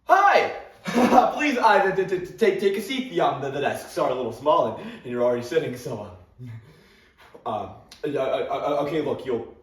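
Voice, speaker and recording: A man's voice: medium pitch, moderate pace, lightly acted. A young man playing an awkward, grieving male English teacher who stammers, repeats his words and gives nervous little laughs, in a dramatic monologue. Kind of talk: competitive dramatic interpretation monologue. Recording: roomy sound from a distant camera.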